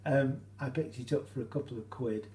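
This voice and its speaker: A British man giving an informal, halting how-to demonstration, explaining each step as he goes. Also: mid-range voice, dark tone. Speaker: hobbyist demonstrator. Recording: roomy echo, faint hum.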